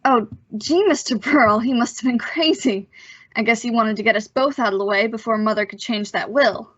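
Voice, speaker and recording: A woman's voice: a little high, a little fast, fairly bright tone, no echo, highs cut off. A woman playing an earnest, plucky teenage boy in an old-time radio suspense play, with a hesitant gee-whiz manner. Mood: sheepish, earnest.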